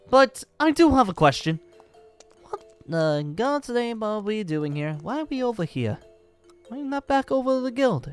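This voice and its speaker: A man's voice: high voice, clear, resonant tone. A man playing an exuberant, boastful dragon-slayer wizard in a Minecraft roleplay, speaking in a pompous, genteel and theatrical manner. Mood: delighted, genteel. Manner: theatrical, flamboyant, cackling, pompous. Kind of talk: improvised Minecraft fantasy roleplay acting.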